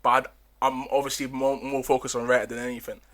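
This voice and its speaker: A young man from Ghana, a guest musician telling his story in a relaxed, slangy way with many fillers and restarts, at a desk mic in a small podcast studio. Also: mid-range voice, a little fast. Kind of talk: casual podcast chat. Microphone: desk mic with headphones.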